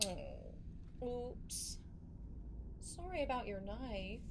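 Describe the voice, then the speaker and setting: A defiant patient in a horror audio play. Her voice sits fairly high and swings a lot, with unhurried delivery and a temper underneath. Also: very animated, very noisy, full character voice. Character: defiant, angry young female patient fighting her fears. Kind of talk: horror audio drama acting.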